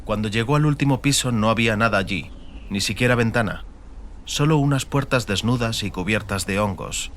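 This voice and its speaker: A man's voice: on the low side, brisk, fairly bright tone, a little hiss or hum. A man reading a scripted, dramatic fantasy audiobook narration.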